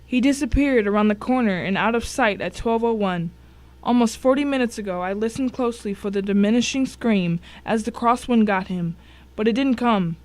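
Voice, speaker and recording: A woman's voice: fairly high pitch, moderate pace, darkish tone, lightly acted. A woman playing the grim first-person narrator of a crime thriller in a scripted dramatic reading. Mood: grim, tense.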